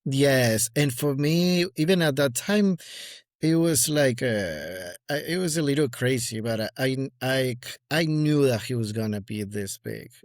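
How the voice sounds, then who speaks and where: A man's voice: medium pitch, expressive, unhurried, no echo, studio quality. A man in his forties with an Argentine accent, a guest speaking in a casual interview with many fillers and restarts. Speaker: guest collector.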